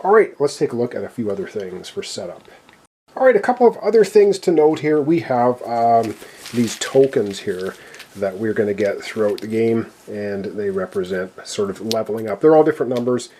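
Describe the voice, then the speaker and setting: A man's voice: fairly low pitch, lively intonation, moderate pace, mellow, slight background noise. A man giving a casual board game tutorial, talking viewers through the setup step by step in an explanatory way. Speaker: board game player and presenter. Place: indoors at a gaming table.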